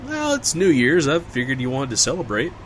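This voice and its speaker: A man's voice: medium pitch, huge pitch swings, fairly quick, a bit bright, a little hiss or hum. A man playing an easygoing, wry small-town local in casual audio drama banter, with a folksy conversational manner.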